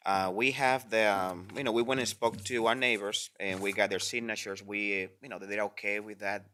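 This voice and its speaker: A man's voice: fairly low pitch, steady tone, moderate pace, pushed and bright. A man from the Dominican Republic, speaking English as a second language, earnestly explaining his permit request at a public hearing. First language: Spanish. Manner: earnest, filler-heavy with "you know". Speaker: permit applicant.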